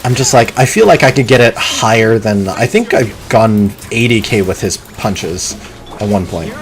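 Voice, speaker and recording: A man streaming a video game live, chatting casually and muttering to himself between bursts of excitement. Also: on the low side, moderate pace, faint music behind. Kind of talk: live gameplay commentary.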